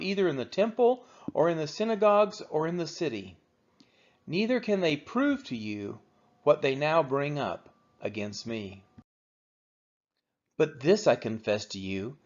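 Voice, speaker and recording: A man reads scripture aloud in a slightly high voice. His intonation rises and falls widely, with measured, deliberate oratorical phrasing. The recording sounds a little dull and lo-fi. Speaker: scripture reader of a daily read-along. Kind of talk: scripted Bible reading, narration.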